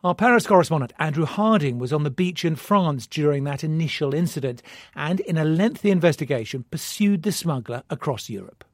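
A man's voice: fairly high pitch, lively intonation, a little fast, no room sound, clean studio sound. A man presenting a news podcast, reading scripted headlines and introductions.

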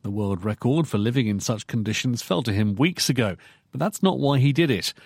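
A man presenting the news as an anchor, formal and crisp. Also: medium pitch, animated, brisk, darkish tone, dry recording, studio quality.

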